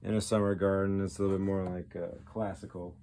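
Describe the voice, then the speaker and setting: A low-pitched man talks off the cuff like a collector hosting a homemade video. His delivery is casual and geekily enthusiastic, rambling from one remark to the next. There is audible background noise behind him. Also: flat delivery, quick pace, warm tone. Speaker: record collector, video host. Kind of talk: casual show-and-tell, off the cuff.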